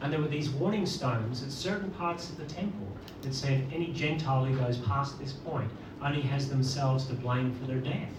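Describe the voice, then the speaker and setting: A man's voice: medium pitch, normal speed, few pauses. A middle-aged man from Northern Ireland with a Northern Irish accent, preaching a sermon and asking the congregation rhetorical questions. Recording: roomy, distant mic with background noise.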